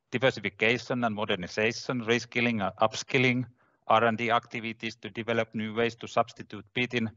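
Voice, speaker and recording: A man in his sixties with a Finnish accent, giving halting, measured prepared remarks from a home office over a headset on a video call. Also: medium pitch, a bit bright. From Finland. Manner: measured, halting non-native English. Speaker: regional politician. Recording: muffled video call audio.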